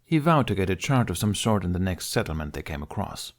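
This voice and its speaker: A man's voice: low-pitched, very animated, fairly quick. A man reading a story aloud as an audiobook narrator in a scripted, storytelling style.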